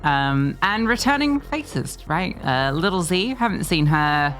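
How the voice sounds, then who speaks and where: A woman's voice: sits low, big pitch swings, measured pace, a bit bright. A woman commentating an esports match, with playful, teasing banter toward her co-caster. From Australia. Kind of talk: live game commentary and casual chat.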